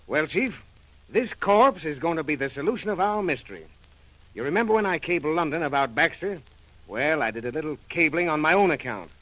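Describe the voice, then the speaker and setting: A brisk American detective of 1940s radio drama, sure of his hunches. His voice sits on the high side and is bright and forceful, with lively swings of pitch. He talks in clipped, slangy hard-boiled phrases. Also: poor audio. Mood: satisfied, explaining.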